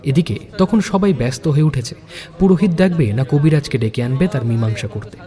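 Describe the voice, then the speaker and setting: A man's voice: a little high, brisk, dark tone, in a large hall. A man hosting and narrating an audio story in a scripted storytelling style. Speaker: story narrator and channel host.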